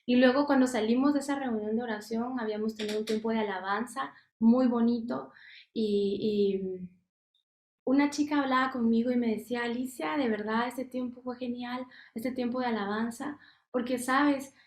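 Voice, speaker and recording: A young woman from Guatemala gives an earnest testimony, pausing often on fillers and restarting her sentences. Her voice sits a little high for a woman. The sound is roomy and echoey. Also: fairly even intonation, a little mellow. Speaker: missionary speaker. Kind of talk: personal testimony at a missions workshop.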